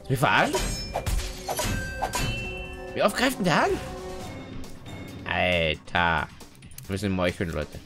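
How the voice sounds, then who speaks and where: A man's voice: sits low, wildly swooping pitch, slow, pauses often. A man from Austria giving casual, slangy gameplay commentary, dropping in English exclamations. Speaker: let's player. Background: game music and clicking.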